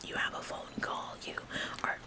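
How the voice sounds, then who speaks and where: A woman's voice: normal speed, close to an everyday voice. A woman playing an officious, nitpicking office employee who acts like the boss in a whispered ASMR roleplay, nagging repetitively with condescending, faux-polite phrasing.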